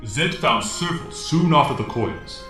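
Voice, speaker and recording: A man's voice: mid-range voice, animated, normal speed, bright, forceful tone. Echoing, commanding mentor played by a man. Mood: grave urgency. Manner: formal, solemn. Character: commanding wise mentor leader, grave and formal. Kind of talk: audio drama acting. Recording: echo effect over music bed.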